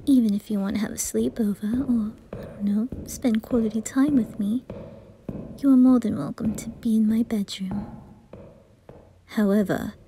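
A woman's voice: a little high, fairly even intonation, normal speed, pauses often, dark tone, slight background noise, close to an everyday voice. A woman voicing a haughty, bratty teenage aristocrat boy in an anime ASMR roleplay, imperious and mocking. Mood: coolly hospitable.

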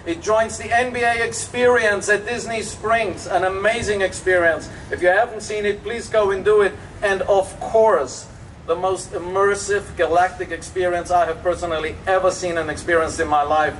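A man from Austria with an Austrian German accent, giving an enthusiastic public dedication speech as a resort executive, with frequent fillers. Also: high-pitched. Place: outdoors at a public event. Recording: distant outdoor recording with faint background noise.

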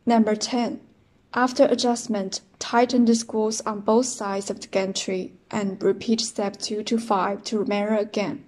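A woman's voice: mid-range voice, level tone, unhurried, darkish tone. A young woman with a Chinese accent, reading numbered machine setup steps in a plain, methodical way. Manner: plain, methodical step counting. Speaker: product demonstrator. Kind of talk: step-by-step instructional voice-over.

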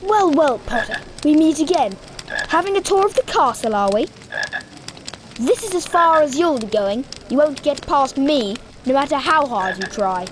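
An actress voicing a spiteful young schoolboy bully in a video game, taunting and smug. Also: female voice, sits high, expressive, moderate pace, a little hiss or hum. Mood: mocking. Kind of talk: video game character acting.

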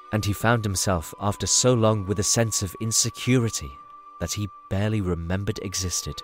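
A man narrating a scripted fantasy story as a storybook narrator. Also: lowish voice.

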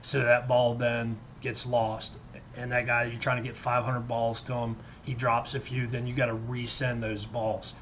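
A middle-aged American man giving a casual, explanatory screen-share software tutorial. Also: medium pitch, monotone, normal speed, a little hiss or hum. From United States. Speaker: tutorial presenter. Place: indoors at a computer desk. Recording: loud guitar music behind the voice. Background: loud guitar music.

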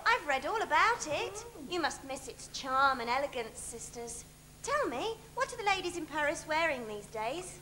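Woman as innocent young pantomime heroine, very high, bright, earnest, noisy theatre recording. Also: sing-song, normal speed, big-room sound, heavily acted. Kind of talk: stage pantomime acting. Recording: noisy theatre stage video.

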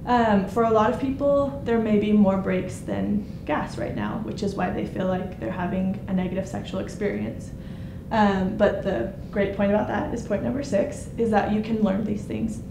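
Young female speaker, gravelly edge, hesitant, roomy noisy sound. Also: mid-range voice, normal speed, hardly any pauses. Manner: hesitant, many ums. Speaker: conference speaker. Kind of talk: informal presentation. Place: conference room with projector screen. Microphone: handheld mic. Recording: echoey room, noisy.

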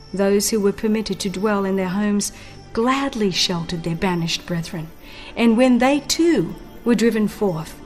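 A woman narrates an audiobook in a very slow, solemn voice of medium pitch. She phrases each thought deliberately, as in a dramatized reading of religious history. Soft music plays behind her. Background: background music.

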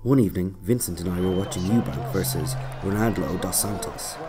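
A man narrating a scripted autobiographical story in a measured, dry way. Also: low-pitched. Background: loud music.

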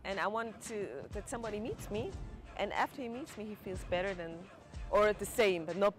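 A young woman with a Swiss German accent answers questions in halting English, pausing to find her words. She speaks at a middle pitch. Music plays clearly underneath her. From Switzerland. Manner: hesitant, searching for English words. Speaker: guest, actress and comedian. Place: outdoor terrace.

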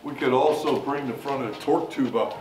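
Wry man in a loud, echoey garage. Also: medium pitch, fairly quick. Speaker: garage helper. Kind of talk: casual chat while working. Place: garage workshop. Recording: echoey, distant mic, loud background noise.

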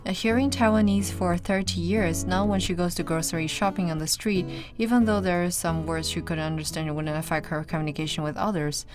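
A woman reading an English voice-over translation for a documentary report, in an even, reading-style delivery. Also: on the low side, a little fast, hardly any pauses. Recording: voice-over with loud background music.

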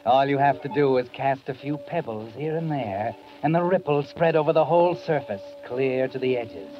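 An actor playing a sardonic, all-knowing narrator of a 1940s radio mystery, insinuating and theatrical. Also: male voice, mid-range voice, normal speed, lightly acted. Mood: knowing, menacing. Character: sardonic, omniscient, sinister narrator addressing the villainess. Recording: muffled 1940s radio recording. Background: dramatic music.

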